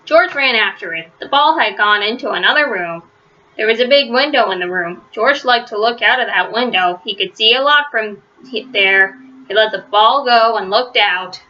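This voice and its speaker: A woman reading a children's story aloud, phrasing it simply and deliberately and stressing words for young listeners. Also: a little high, animated, bright, forceful tone, lo-fi sound. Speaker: storybook reader. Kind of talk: children's read-aloud narration.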